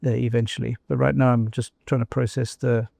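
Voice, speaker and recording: An older man from South Africa with a South African accent, talking thoughtfully in an interview, pausing often with fillers and restarts. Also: mid-range voice, little pitch movement, gravelly, mellow, no room sound, clean studio sound. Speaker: guest, former company CEO. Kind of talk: casual interview conversation.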